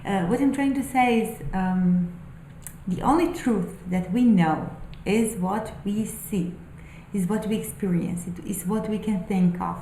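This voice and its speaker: Slow, mellow-voiced woman musing aloud. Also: mid-range voice, roomy sound, slight background noise, low-quality recording. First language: not English. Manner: thoughtful, halting, many ums and restarts. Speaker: spiritual vlogger. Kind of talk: unscripted musing monologue.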